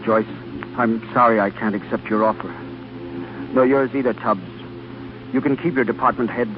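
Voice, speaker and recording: An actor playing an idealistic, defiant research doctor in 1930s radio drama style, bitter but determined. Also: male voice, mid-range voice, steady tone, moderate pace, close to an everyday voice. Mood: defiant, resolute. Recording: dull old radio recording, loud music behind.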